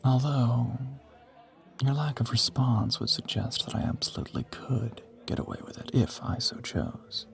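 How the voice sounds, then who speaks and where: A man voicing an ancient, suave vampire in an intimate romantic audio roleplay, teasing and possessive, with a breathy whisper and formal, condescending phrasing. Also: medium pitch, moderate pace, lightly acted. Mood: teasing, amused.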